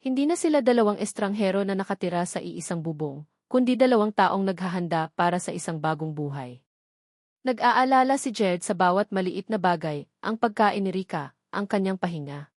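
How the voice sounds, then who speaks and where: A Filipino woman narrates a scripted drama as a storyteller. Her voice sits at a middling pitch, and the sound is very dry, with hardly any room in it. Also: expressive, a little fast, darkish tone, no echo.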